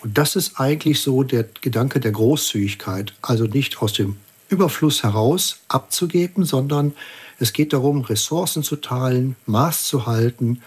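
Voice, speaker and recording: A man giving a radio interview as a church environmental activist, explaining in a measured, earnest way. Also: medium pitch, slightly rough.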